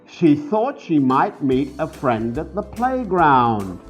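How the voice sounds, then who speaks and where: A man's voice: fairly high pitch, big pitch swings, slow pace, faint music behind. A man co-hosting a children's storytime, reading aloud with playful banter and often repeating phrases. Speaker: storyteller co-host.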